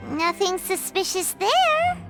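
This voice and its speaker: Very high squeaky voice, childlike fairy sidekick, cutesy, curious, animated game dialogue, music behind. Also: female voice, takes it slowly, exaggerated voice. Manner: refers to self in third person, cutesy. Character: small childlike female fairy companion, excitable and curious. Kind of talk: video game character dialogue.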